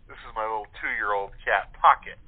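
A man talking casually as an interview guest, a playwright and actor. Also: on the low side. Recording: thin telephone-line sound.

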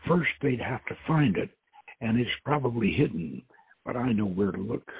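A man's voice: medium pitch, lively intonation, normal speed, breathy edge, no room sound. A man reading the part of a gracious, confident woman archaeologist in an adventure novel, politely and with bookish assurance. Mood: assured. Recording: muffled narrowband radio-like audio.

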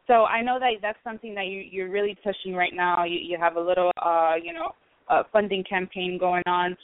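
Smooth-voiced woman host, narrow-band sound. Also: fairly low pitch, fairly even intonation, brisk, dry recording. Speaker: interview host. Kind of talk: casual interview. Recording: narrow-band radio-like phone sound, dry.

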